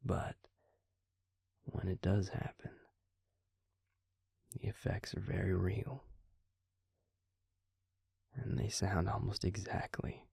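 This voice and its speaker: A man playing a calm, protective vampire in an intimate audio roleplay, speaking gently and measuredly in a breathy, gravelly near-whisper. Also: low voice, slow, pauses often, dry recording, studio quality, exaggerated voice. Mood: grave, explaining. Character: calm, protective male vampire explaining lore to a werewolf. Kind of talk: intimate audio roleplay, slow hushed delivery.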